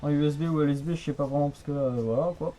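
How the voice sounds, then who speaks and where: A man's voice: on the high side, fairly even intonation, quick pace, dark tone, slight background noise, poor audio. A young man chatting casually over the radio in a rambling, repetitive, colloquial way. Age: young adult. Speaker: radio hobbyist, channel host. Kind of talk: casual radio contact chat.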